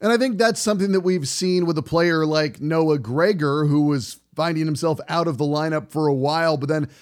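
Casting: man with a higher-pitched voice, pregame sports host, wordy and fluent on air. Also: moderate pace. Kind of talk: pregame show hosting and commentary.

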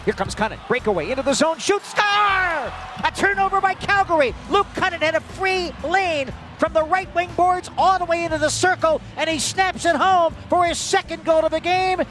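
A man calling live hockey play-by-play in an animated, projecting, excited commentary style. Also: very high-pitched, normal speed, over music. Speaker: play-by-play announcer.

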